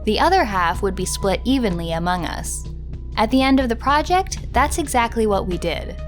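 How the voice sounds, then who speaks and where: Female quote reader for a documentary, slightly high voice, scripted delivery, music underneath. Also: sing-song, moderate pace.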